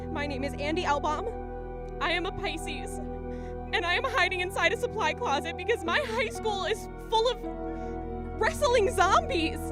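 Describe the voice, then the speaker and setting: A woman playing a cocky, wisecracking high school girl in a radio drama, chatty, sarcastic and dramatic. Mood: nervous, wry. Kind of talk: science fiction radio drama acting.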